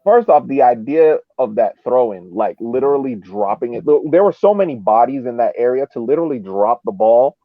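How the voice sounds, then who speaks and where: A man talking soccer as a podcast panelist in a casual, emphatic, halting way, often repeating words as he builds his point. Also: medium pitch, lively intonation, dry recording. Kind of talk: casual sports discussion. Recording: phone-like, band-limited call audio.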